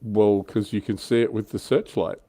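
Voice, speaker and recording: A man with a low, mellow voice talks through history like a livestream host. His delivery is informal and keen, recorded close and dry. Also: steady tone, normal speed, dry recording. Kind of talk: casual explanatory history chat.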